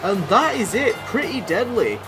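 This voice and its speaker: A man doing excitable, rambling play-by-play commentary over a wrestling video game, his voice going hoarse. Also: sits high, normal speed, over music. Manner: excitable, rambling, self-deprecating. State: losing his voice. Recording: noisy background.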